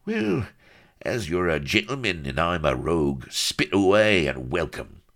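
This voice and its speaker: Here is a giant, hairy pirate rogue from a storybook. The voice is a gravelly, wheezing growl that swings wildly as he blusters and swears old-fashioned oaths in defiance. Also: sits low, big pitch swings, a little slow, fairly bright tone, pitched above the performer's own voice, heavily acted. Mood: defiant. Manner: bawling, oath-laden archaic pirate talk.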